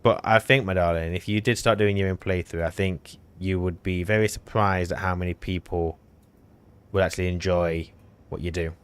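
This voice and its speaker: A man's voice: sits low, steady tone, normal speed, smooth. A man chatting on a live game stream, teasing his partner and explaining steps as they play. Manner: teasing, talkative, explains while playing. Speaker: co-host streamer. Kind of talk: casual live-stream chat.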